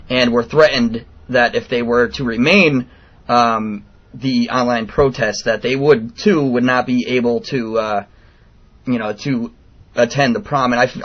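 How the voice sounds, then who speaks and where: A man in his late twenties making an earnest, persistent complaint over the phone in a halting, informal way on a lo-fi recording. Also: mid-range voice, a bit bright. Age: late 20s. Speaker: radio show host calling in a complaint. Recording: dull, lo-fi phone call recording.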